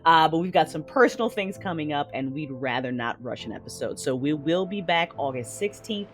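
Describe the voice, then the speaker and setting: Low-voiced, animated woman podcast host. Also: fairly quick. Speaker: podcast host and producer making announcements. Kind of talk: casual podcast announcements and credits. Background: prominent music.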